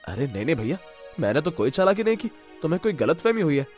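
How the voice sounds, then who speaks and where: A man's voice: fairly high pitch, big pitch swings, fast talker, darkish tone, faint music behind. A man voicing a nervous, placating villager in a cartoon story, talking defensively. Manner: defensive, appeasing.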